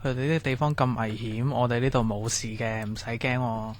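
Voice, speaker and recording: A man plays an easygoing young student in a radio drama. His pitch is middling. He chats casually and sounds unworried. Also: steady tone, fairly quick, close to an everyday voice. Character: breezy young male student, reassuring classmates. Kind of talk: Cantonese radio drama acting.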